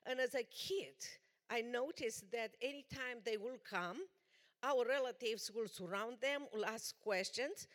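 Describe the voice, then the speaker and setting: A woman from Romania with a Romanian accent, giving an earnest, deliberate personal testimony as a guest speaker in a church. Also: on the high side, a bit bright. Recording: long church hall reverb.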